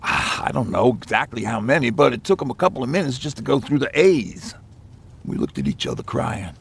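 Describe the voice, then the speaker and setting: Gravelly, husky, weary war survivor. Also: male voice, mid-range voice, sing-song, heavily acted. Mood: weary, somber. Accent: American. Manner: halting, plain-spoken, profane storytelling. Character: weary male nuclear-war survivor recounting the fallout. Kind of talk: naturalistic game acting, recounting past events.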